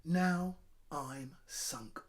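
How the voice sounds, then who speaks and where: A man's voice: fairly high pitch, drawn-out words. A man voicing a horrid, defiant young schoolboy in a children's storybook, howling and protesting. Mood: sinking dread.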